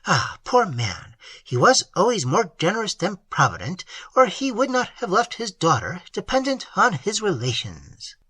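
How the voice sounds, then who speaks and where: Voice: medium pitch, huge pitch swings, normal speed, few pauses, bright, forceful tone, husky, no echo, pitched above the performer's own voice, full character voice. A reader voicing a haughty, scolding middle-aged aunt in an audiobook, with a self-important, sneering manner. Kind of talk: audiobook character voice.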